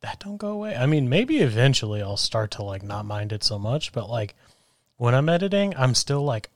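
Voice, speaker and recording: A man with a deep voice co-hosting a podcast, talking in a loose, rambling, self-deprecating way through a mic and soundboard at home. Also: sing-song, moderate pace. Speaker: podcast co-host. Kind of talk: casual chat. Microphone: microphone through a soundboard.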